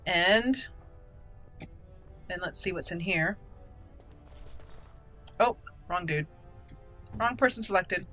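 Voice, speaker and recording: Bright-voiced woman doing casual game commentary. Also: fairly low pitch, slow, long pauses. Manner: talks to herself and to game characters. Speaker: gaming video host. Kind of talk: casual let's-play commentary. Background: game music.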